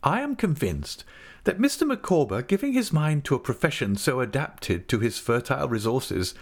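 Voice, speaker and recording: A man's voice: medium pitch, sing-song, moderate pace, pitched above the performer's own voice. A man voicing a self-important, doting middle-aged wife who makes earnest, businesslike pronouncements in an audiobook. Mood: profound, earnest. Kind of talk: audiobook character acting.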